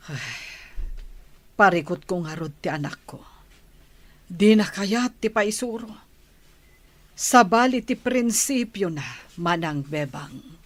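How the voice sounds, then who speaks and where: A woman's voice: mid-range voice, lively intonation, slow, pauses often, dry recording. A woman with a Filipino accent playing a worried, reproachful middle-aged village mother in a radio drama. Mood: worried, complaining.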